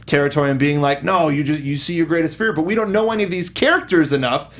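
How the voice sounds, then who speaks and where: Male co-host, slightly high register, casual review banter, sarcastic and profane. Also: quick pace. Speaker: podcast co-host. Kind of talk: casual movie review chat.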